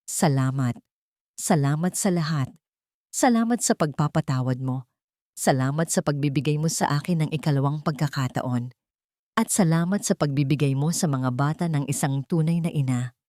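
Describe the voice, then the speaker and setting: Woman narrator doing earnest loving father, Filipino accent, steady delivery, audiobook drama, dry close sound. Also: sits low, normal speed, no room sound, lightly acted. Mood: grateful, tender. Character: firm, devoted man, repentant loving father.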